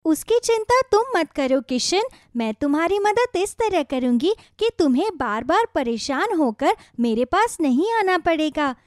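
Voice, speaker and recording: A woman's voice: sits high, very animated, measured pace, hardly any pauses, a little mellow, dry recording, clean studio sound. A woman voicing a kindly, reassuring magic radish in a children's moral story. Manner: reassuring, caring. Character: kindly, helpful female magic talking radish.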